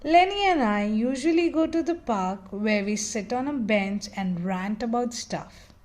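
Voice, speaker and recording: A woman reads a children's story aloud at a slow, deliberate pace. Her voice is on the high side, and she phrases the narration expressively, leaning theatrically on key words. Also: lively intonation, darkish tone. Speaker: picture book reader.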